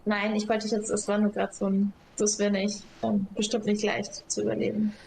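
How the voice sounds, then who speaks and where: A woman's voice: mid-range voice, monotone, moderate pace, creaky, a little mellow, slight background noise, low-quality recording. A woman chatting casually while playing a game with a friend, mostly answering with short, easy replies. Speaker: co-player. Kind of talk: casual gaming chat.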